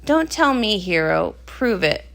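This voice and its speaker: A woman's voice: medium pitch, big pitch swings, measured pace, vocal fry, a bit bright, voice pushed down, full character voice. A woman voicing a demanding, blunt female pony who captains the guard in a storybook. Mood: stern.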